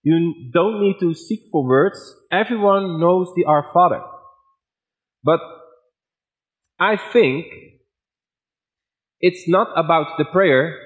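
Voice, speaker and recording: Slow, measured Dutch-accented preacher. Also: male voice, fairly high pitch, low-quality recording. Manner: plain simple wording, measured delivery. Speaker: guest preacher. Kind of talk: sermon.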